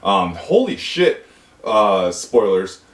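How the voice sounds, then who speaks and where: A man's voice: lowish voice, sing-song, slow pace, a bit bright. A man casually chatting about a movie, asking questions and swearing freely. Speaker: host of movie review channel. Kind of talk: casual profane movie chat. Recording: echoey room, far from the mic.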